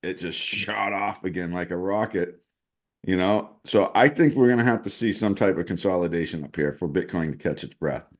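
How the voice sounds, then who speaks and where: A man's voice: deep voice, normal speed. A man co-hosting a casual show, talking through charts and thinking aloud with many restarts. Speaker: co-host, chart analyst. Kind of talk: casual crypto chat over screen-shared charts.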